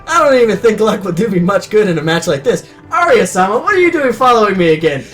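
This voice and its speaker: A man's voice: high voice, sing-song, fast talker, loud music behind the voice. A man in his twenties narrating a story in a readalong, breaking in with crude, sarcastic, self-mocking asides. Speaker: reader of a comedic readalong.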